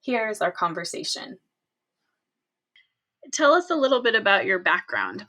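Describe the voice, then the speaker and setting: A woman hosting a podcast, reading a scripted introduction and asking interview questions in a clear, measured way. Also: mid-range voice, sing-song, bright, forceful tone. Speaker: podcast host.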